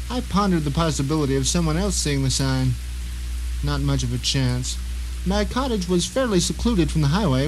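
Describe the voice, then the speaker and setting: A man's voice: a little high, natural voice. An actor playing a resourceful, wry blind writer who narrates his own predicament in a theatrical audio drama monologue. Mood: wry, inspired. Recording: noisy 1990 radio drama mix.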